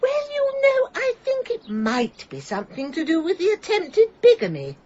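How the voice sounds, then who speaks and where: A woman's voice: very high-pitched, wildly swooping pitch, moderate pace, lo-fi sound, crowd noise, exaggerated voice. A woman playing a prim, bossy, sanctimonious landlady in a comic radio drama, pompous and fussy with pointedly polite sarcasm. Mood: prim, gloating.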